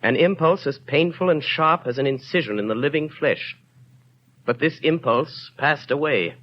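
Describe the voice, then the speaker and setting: A man narrating a 1930s radio drama in a grand, measured storytelling manner. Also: fairly high pitch. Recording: dull lo-fi 1930s radio broadcast with music.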